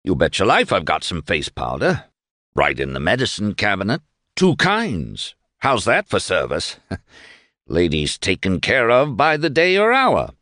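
A man's voice: deep voice, wildly swooping pitch, a little slow, bright, forceful tone, dry recording, clean studio sound, heavily acted. A man voicing a crude, smug fascist militia boss in an audiobook, slangy, boastful and condescending.

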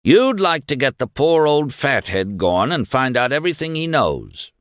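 A man's voice: mid-range voice, sing-song, normal speed, no room sound, clean studio sound, voice pushed up, close to an everyday voice. A man voicing a crude, smug fascist militia boss in an audiobook, slangy, boastful and condescending. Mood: suspicious, cocky.

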